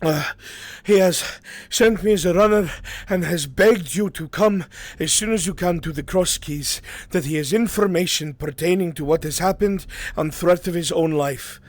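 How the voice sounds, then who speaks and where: A man's voice: fairly high pitch, fairly quick, gravelly, few pauses. A man voicing a breathless, anxious male messenger in a fantasy roleplay, stammering and pleading in formal, deferential speech. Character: breathless, frightened, deferential male messenger runner, mud-soaked. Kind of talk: live improvised fantasy roleplay. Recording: noisy live game stream audio.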